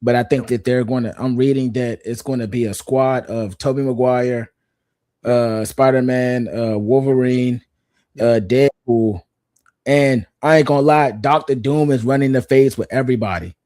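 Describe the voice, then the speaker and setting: A man's voice: medium pitch, steady tone, normal speed, darkish tone, no room sound, clean studio sound. A man in his thirties hosting a casual pop culture chat, laid-back and slangy, repeating himself for emphasis. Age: 30s.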